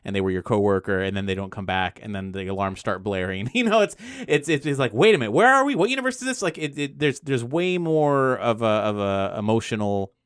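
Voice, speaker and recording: A man talking casually on a podcast, explaining his ideas in a rambling, animated way. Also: lowish voice, brisk, few pauses, clean tone, no room sound, studio quality. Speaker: game designer and podcast guest.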